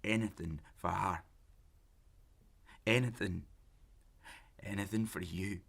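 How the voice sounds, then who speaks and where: An actor playing an obsessive, pleading, lonely lovesick man in an audio drama, halting and intense as he justifies himself. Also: low-pitched, steady tone, vocal fry, fairly bright tone, husky, heavily acted. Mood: obsessive, agitated. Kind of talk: naturalistic audio drama acting.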